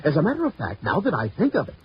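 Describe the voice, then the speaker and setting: A man's voice: on the high side, huge pitch swings, rapid-fire. An actor playing a hesitant, gossipy insurance agent in a 1950s radio drama, musing as he talks. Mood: musing, puzzled. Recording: dull, noisy old radio recording.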